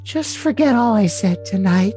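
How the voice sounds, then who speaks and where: A woman's voice: medium pitch, expressive, moderate pace, warm tone, soft background music, close to an everyday voice. A woman playing a clingy, volatile young woman in an overly dramatic comedic reading of text messages. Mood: apologetic, needy. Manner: exaggerated, emotionally dramatic.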